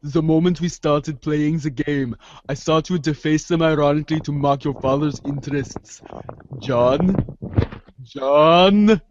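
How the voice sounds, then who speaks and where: A man playing a dry, sardonic, articulate teenage girl with deadpan, formal delivery in an amateur readers' theater. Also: on the high side, unhurried, muffled recording, slight background noise, natural voice. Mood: dry, skeptical.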